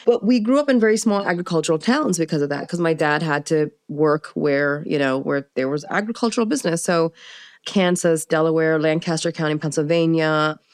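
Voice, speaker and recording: Chatty woman, smooth and close. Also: sits low, fairly quick, no echo. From Pakistan, raised in the United States. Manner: animated storyteller with frequent fillers and laughs. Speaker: interview guest. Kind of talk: casual conversational interview.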